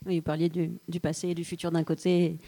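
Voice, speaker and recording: A woman moderating a public talk outdoors, brisk and polite. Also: lowish voice, flat delivery, mellow. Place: outdoor town square event.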